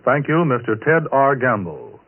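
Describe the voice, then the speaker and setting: Guy network announcer, husky mid voice, formal, tinny old radio. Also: mid-range voice, sing-song, moderate pace.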